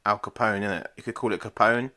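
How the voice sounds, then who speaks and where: Bright-voiced laid-back man. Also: fairly low pitch, steady tone, quick pace. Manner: laid-back, dry, swears casually. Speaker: podcast co-host. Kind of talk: casual banter.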